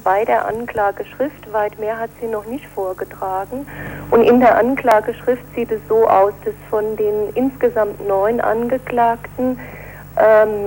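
A woman's voice: a little high, darkish tone, rough recording. Woman lawyer answering interview questions, formal and explanatory, bit of hum and noise behind her. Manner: measured, explanatory, formal legal wording. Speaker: defence lawyer being interviewed.

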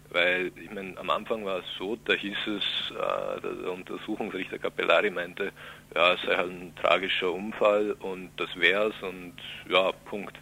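Hesitant deep man with gravelly voice. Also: bright, forceful tone, a little hiss or hum, low-quality recording. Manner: hesitant, many filler pauses. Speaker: interviewee. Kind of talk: radio interview, thoughtful explanation.

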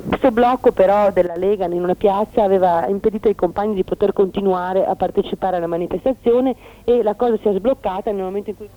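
Through a narrow, phone-like connection, a woman gives a spontaneous interview answer. Her pitch is medium and she hesitates as she searches for words. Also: steady tone, quick pace. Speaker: demonstrator being interviewed. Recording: tinny phone-like radio line.

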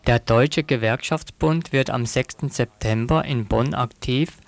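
A man's voice: medium pitch, measured pace, no room sound. Dry-sounding male radio reporter. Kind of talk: prepared news interview questions.

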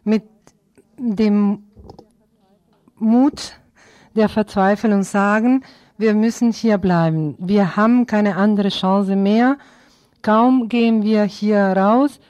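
Slow, mellow woman making an appeal. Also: medium pitch, dry recording. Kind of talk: public appeal.

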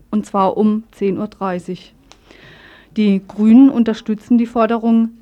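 Mellow-voiced woman presenting radio news. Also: mid-range voice.

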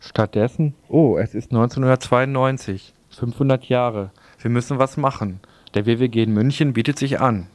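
A man's voice: medium pitch, normal speed, few pauses, darkish tone, no echo. A man reads a scripted political text aloud as a radio presenter. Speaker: radio presenter reading quotations.

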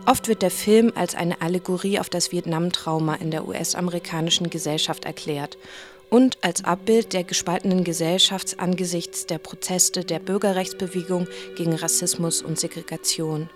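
A woman's voice: lowish voice, steady tone, normal speed. A woman narrating a scripted radio feature as interviewer and presenter. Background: music.

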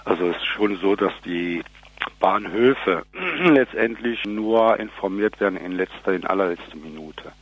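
Deep, husky male interviewee. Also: animated, unhurried, no echo, highs cut off. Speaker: interviewee, anti-nuclear activist. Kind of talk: casual spoken interview.

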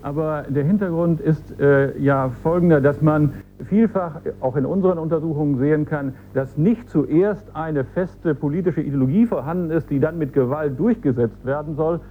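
A man answering an interview as an academic expert, in an analytical manner with measured wording. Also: a little high, warm tone. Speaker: academic expert on youth violence. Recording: faint hum and noise, off-air clip.

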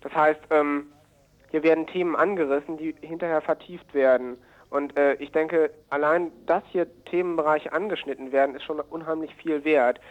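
Man, radio interviewee, hesitant and earnest, flat intonation, thin phone-like sound. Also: fairly high pitch. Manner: hesitant, halting, earnest phrasing. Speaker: environmental activist being interviewed. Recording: narrow tinny phone-like line.

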